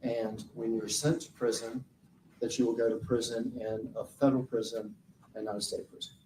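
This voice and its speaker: Man questioning slowly in a courtroom. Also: mid-range voice, level tone, a little mellow, a little hiss or hum. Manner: slow, deliberate questioning. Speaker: prosecutor. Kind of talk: courtroom direct examination. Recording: roomy courtroom audio from far off.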